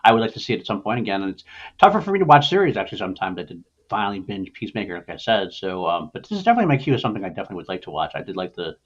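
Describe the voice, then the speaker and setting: Quick, lively male host, husky voice. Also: sits low, dull audio. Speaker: podcast host. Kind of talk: casual panel chat.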